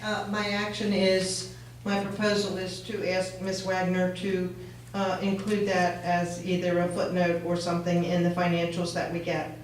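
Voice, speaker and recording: Flat-voiced woman, hesitant, in echoey hall. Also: lowish voice, moderate pace. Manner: hesitant, with many fillers. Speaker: town commissioner at a public meeting. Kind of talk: town meeting remarks. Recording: echoey meeting hall, mic far off, faint noise.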